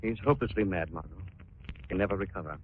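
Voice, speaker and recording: An actor playing a calm, thoughtful gentleman detective in 1940s radio drama style. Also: deep voice, fast talker, lightly acted. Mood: grave, reflective. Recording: dull, noisy 1940s radio recording.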